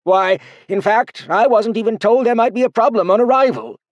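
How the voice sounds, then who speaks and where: A man's voice: sits high, normal speed, no echo, lo-fi sound, pitched above the performer's own voice, natural voice. A man voicing a sly, playful old male cultivator who teases with feigned innocence. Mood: wry.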